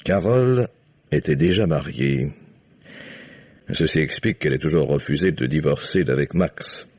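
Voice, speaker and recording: An actor playing a shrewd, measured older father weighing evidence, in 1950s French radio drama. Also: rumbling low, animated, a little mellow, slightly rough, no echo, full character voice. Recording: dull, band-limited vintage radio recording.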